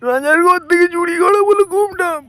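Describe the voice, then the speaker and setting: A man's voice: very high voice, brisk, sharp tone. A man voicing a devoted crow's wife in a cartoon. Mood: determined. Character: shrill devoted wife of a crow. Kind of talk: cartoon voice acting.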